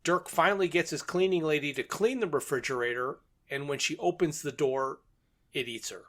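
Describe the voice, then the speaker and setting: Male co-host, fairly high-pitched, casual and plain-spoken, handles the step-by-step recap. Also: moderate pace, a bit bright. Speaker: podcast co-host.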